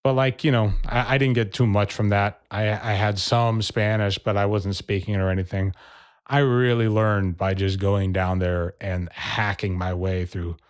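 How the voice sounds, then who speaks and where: A man speaking as a podcast guest, a relaxed storyteller in casual interview chat. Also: on the low side, moderate pace, clear, resonant tone. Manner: relaxed storyteller, acts out quoted people. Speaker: percussionist and educator, podcast guest.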